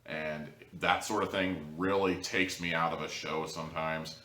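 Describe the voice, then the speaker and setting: A man's voice: very deep voice, steady tone, unhurried, pushed and bright, a little hiss or hum. A man giving a casual, humorous review to viewers, rambling along with wry asides and mock-dramatic emphasis. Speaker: audio drama reviewer, show host. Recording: roomy echo, distant mic.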